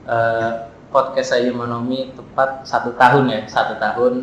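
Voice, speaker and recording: A man in his twenties with a Jakarta accent, chatting as a podcast guest in a relaxed, halting way with frequent "eh" fillers and chuckles. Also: mid-range voice, very echoey, dull audio, slight background noise. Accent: Jakarta Indonesian. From Jakarta, Indonesia. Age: 20s. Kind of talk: casual podcast chat.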